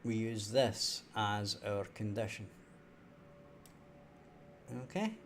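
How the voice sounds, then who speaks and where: A young man with a toned-down Scottish accent talks himself through his work on a live game development stream. He speaks slowly and in a low-key way, his voice sitting a little low. A faint hum runs behind him. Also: expressive. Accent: softened Scottish. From Scotland. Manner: thinking aloud while working, low-key. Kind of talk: live coding stream talk.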